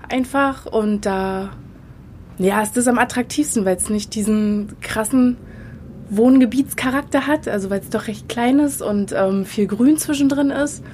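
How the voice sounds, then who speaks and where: A woman in her thirties from Potsdam, answering questions in a casual street interview outdoors, chatty and relaxed with frequent filler pauses. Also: a little high, a little hiss or hum. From Potsdam, Germany. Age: 30s. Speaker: interviewee, local resident. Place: outdoors in a residential area.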